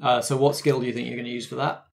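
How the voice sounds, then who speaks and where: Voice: mid-range voice, level tone, rapid-fire, vocal fry. A game master narrating and refereeing a tabletop roleplaying game in a colloquial, encouraging way.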